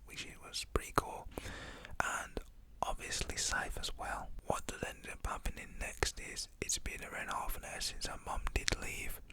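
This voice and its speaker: Whispering man telling a story. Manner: casual, slangy, whispering throughout.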